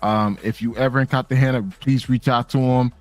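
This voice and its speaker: A man's voice: mid-range voice, steady tone, brisk, no echo, faint music behind. A man hosting a casual live conversation, talking with emphatic swings when he stresses a point. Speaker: host.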